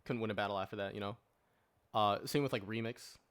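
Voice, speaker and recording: A man's voice: fairly low pitch, steady tone, quick pace, fairly bright tone, clear, resonant tone. Man making his case in casual debate. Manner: argues at length with rhetorical questions, frequent filler "like". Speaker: podcast panelist.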